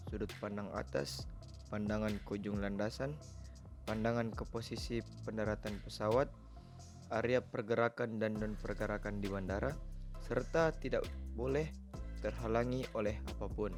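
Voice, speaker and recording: A man reading a scripted educational narration in a formal manner, as the narrator of an explainer video. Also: fairly low pitch. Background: music.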